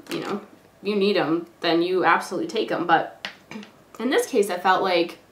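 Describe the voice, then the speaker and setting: A hoarse, stuffed-up young woman who is sick with a cold, chatting casually and rambling with lots of fillers while doing her makeup. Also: on the low side, fairly bright tone. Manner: rambling, filler-heavy, lots of "like" and "you know". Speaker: beauty vlogger. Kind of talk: casual chatty get-ready-with-me talk. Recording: echoey room.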